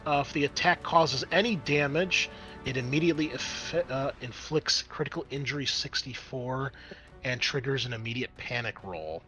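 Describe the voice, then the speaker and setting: Bright-voiced man running a tabletop game. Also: mid-range voice, normal speed, faint music behind. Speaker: game master.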